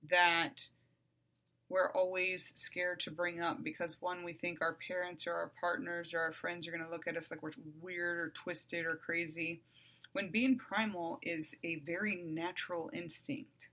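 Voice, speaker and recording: A middle-aged woman hosting her own podcast, talking bluntly and with profanity in a calm, even voice that flares up loud at times. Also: on the low side, a little slow. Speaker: podcast host. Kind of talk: candid monologue.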